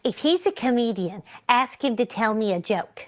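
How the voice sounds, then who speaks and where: A woman's voice: fairly high pitch, animated, normal speed, natural voice. A woman playing a sweet, ditzy comedy wife with innocent delivery in old-time radio style. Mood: innocent, curious. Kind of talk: 1940s radio comedy acting. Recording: slightly dull, band-limited sound.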